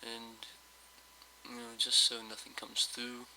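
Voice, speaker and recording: Male gameplay host, slightly hoarse, bright tense tone, monotone, rambling and hesitant delivery. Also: medium pitch, takes it slowly, slight background noise, low-quality recording. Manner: rambling, hesitant, full of fillers. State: slightly hoarse, just woke up. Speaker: let's play host. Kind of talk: casual gameplay commentary.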